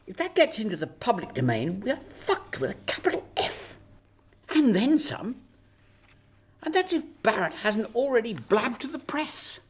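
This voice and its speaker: A woman acting in a radio drama as a sharp-tongued, anxious Prime Minister, interrogating with emphasis and sarcasm. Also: on the high side, big pitch swings, a little slow, slight background noise. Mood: exasperated.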